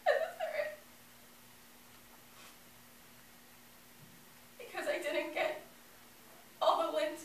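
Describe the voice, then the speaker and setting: A woman's voice: high voice, moderate pace, sharp tone. A young woman performing a dramatic stage monologue as a childlike, earnest daughter remembering her lost mother, telling it with emotion. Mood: hurt, anguished. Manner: earnest, emotional, theatrical storytelling. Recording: roomy, distant mic, faint noise.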